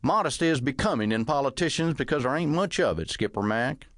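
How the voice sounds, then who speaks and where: A hearty ex-Marine gunnery sergeant in his sixties, acted out by a male audiobook reader. The voice is pushed up and loud, commanding yet folksy, in a very dry recording. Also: mid-range voice, lively intonation, brisk, no echo, pitched above the performer's own voice, lightly acted. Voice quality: loud, megaphone-like. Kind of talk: audiobook character voice.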